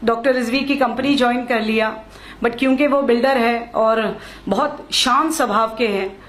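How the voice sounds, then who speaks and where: Woman from maharashtra speaking on a stage mic, highish voice, repeats herself for emphasis, echoey hall. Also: normal speed. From Maharashtra, India. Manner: mixes Hindi with English phrases, repeats lines for emphasis. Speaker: lawyer giving a speech at a function. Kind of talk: public speech.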